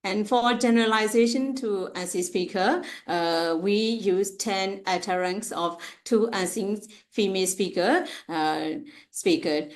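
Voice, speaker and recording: A woman giving an academic slide presentation as a researcher, speaking haltingly with frequent pauses and repeated phrases. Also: mid-range voice. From Myanmar.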